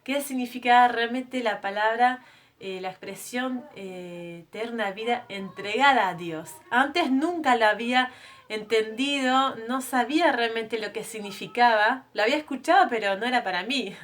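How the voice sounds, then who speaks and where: A woman's voice: a little high, moderate pace, a bit bright. A French-born woman in her thirties shares her personal testimony as a missionary. She speaks to a group of women in an earnest, conversational tone. Her speech is full of small hesitations and self-corrections. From France. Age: 30s.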